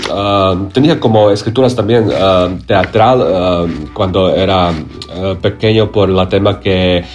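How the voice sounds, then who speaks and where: A man from Croatia, a playwright, speaking haltingly in an as an interview guest, often searching for words. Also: low-pitched, steady tone. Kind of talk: interview conversation.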